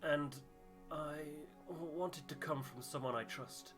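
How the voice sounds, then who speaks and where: A man's voice: on the high side, pitched above the performer's own voice, natural voice. A man voicing an earnest, anxious expedition crewman from a video game, speaking sincerely and hesitantly. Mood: earnest, solemn. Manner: hesitant, sincere, halting phrasing. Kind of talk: voiced reading of video game dialogue. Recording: music behind the voice.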